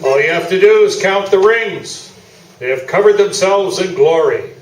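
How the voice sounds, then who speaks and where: Pompous, partisan sports pundit played by a male actor, high-pitched, comic stage radio play, echoey and distant. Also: normal speed, natural voice. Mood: proudly boastful. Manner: pompous, self-assured. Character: pompous, partisan old-school male sports columnist and hall of fame chairman. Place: small theatre, live performance. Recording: roomy theatre stage, distant mic.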